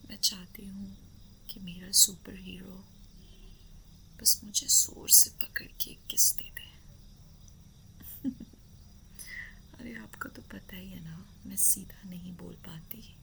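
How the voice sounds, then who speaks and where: A woman playing a shy, playful, affectionate wife in a romantic roleplay ASMR, speaking in a coy, breathy, drawn-out whisper. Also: medium pitch, fairly even intonation, bright, forceful tone, exaggerated voice.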